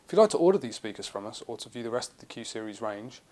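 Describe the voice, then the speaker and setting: Male British presenter, mid-pitched voice, explanatory and matter-of-fact, semi-scripted review narration. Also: very animated, racing, darkish tone. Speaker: presenter of product review videos.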